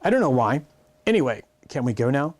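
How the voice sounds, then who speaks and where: Man as an offhand schoolboy, animated pitch. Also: a little fast. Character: offhand, bored, impatient schoolboy.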